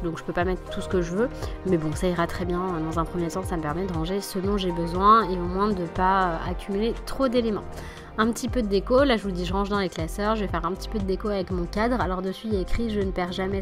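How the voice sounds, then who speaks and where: A woman in her thirties, a mother vlogging from home, narrating in a chatty, self-deprecating voice-over full of hesitations. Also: mid-range voice. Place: home living room. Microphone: voice-over at desk. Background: background music.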